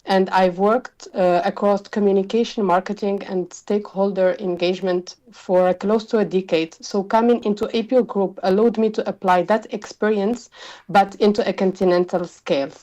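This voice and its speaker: A woman's voice: medium pitch, fairly even intonation, moderate pace, dark tone, dull audio. A woman from Morocco with a Moroccan accent, answering interview questions as a communications executive.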